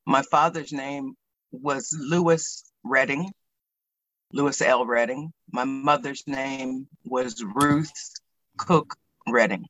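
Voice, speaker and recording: An American man in his sixties from Pennsylvania, recalling his life in an interview in a reflective, hesitant way, pausing to choose his words. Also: mid-range voice, fairly bright tone, poor audio. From Pennsylvania, United States. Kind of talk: oral history interview, personal recollection.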